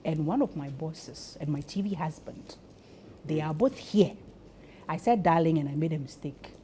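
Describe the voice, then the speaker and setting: A Ghanaian woman presents live breakfast television in a low-pitched voice. She is chatty, effusive and teasing, and there is some noise behind her. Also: normal speed, mellow. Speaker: host of a breakfast TV show.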